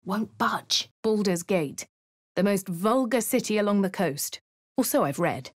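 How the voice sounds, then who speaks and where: An actress voicing a posh English woman adventurer in a video game, speaking crisply with a dry, self-assured manner. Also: mid-range voice, animated, unhurried, no echo. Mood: wry. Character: posh young woman adventurer, cool and wry. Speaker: player character. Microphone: close studio mic.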